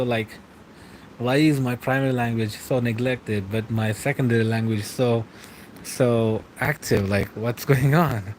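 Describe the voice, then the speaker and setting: A young man streaming late at night from home, answering live chat in a relaxed, rambling way, often laughing and trailing off. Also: mid-range voice, a little hiss or hum, poor audio.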